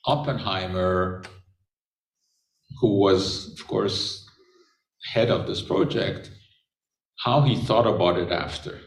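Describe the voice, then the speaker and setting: A man giving an unscripted science talk, unhurried, with frequent pauses and filler words. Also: fairly low pitch, vocal fry. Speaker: chemistry lecturer. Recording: echoey room, voice from a distance.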